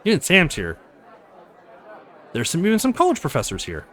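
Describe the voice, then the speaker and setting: A man's voice: on the high side, huge pitch swings, normal speed, a bit bright, some chatter behind. A man hosting a let's play, reading a visual novel aloud with casual commentary.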